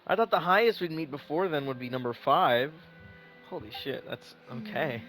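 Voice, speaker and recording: Excitable, fairly high-voiced man reacting to gameplay. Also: moderate pace, a little hiss or hum, rough recording. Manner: excitable, repetitive, thinking aloud. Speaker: gaming streamer. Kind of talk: casual live reaction commentary.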